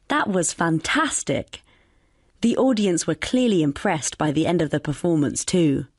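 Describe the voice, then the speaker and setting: Woman, low-ish voice, upbeat clear exam monologue, dry booth. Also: unhurried, a little mellow, dry recording. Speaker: exam recording speaker. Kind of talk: scripted monologue reading.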